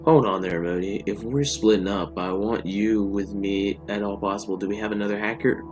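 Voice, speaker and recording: A man's voice: on the low side, steady tone, normal speed, music in the background, natural voice. A man voicing a harried, protective leader under fire in a story, decisive and stressed. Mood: firm.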